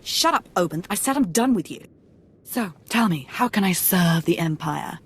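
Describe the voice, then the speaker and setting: Bratty young heiress, sassy, flippant, swooping intonation, slightly husky, sci-fi game character. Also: female voice, fairly low pitch, animated, moderate pace. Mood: coy, bored. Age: young adult. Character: spoiled, brash young rich socialite woman. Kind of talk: video game voice acting.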